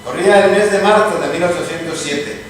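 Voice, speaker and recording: A man's voice: fairly high pitch, a little fast, close to an everyday voice. A man playing an opinionated Spanish political commentator in a dramatized reading, formal and scathing. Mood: expository. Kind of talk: dramatized radio theatre reading. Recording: distant, echoey, noisy room.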